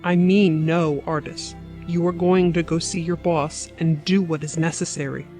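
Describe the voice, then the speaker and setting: A woman's voice: fairly low pitch, steady tone, normal speed, warm tone, faint music behind, lightly acted. An actress playing a stern, coldly resolute wife and mother in an audio drama, firm and commanding.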